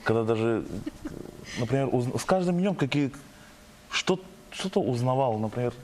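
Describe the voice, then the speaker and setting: A man's voice: medium pitch, lively intonation, normal speed, noisy background. A young male actor talking casually and enthusiastically in an interview. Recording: music behind the voice.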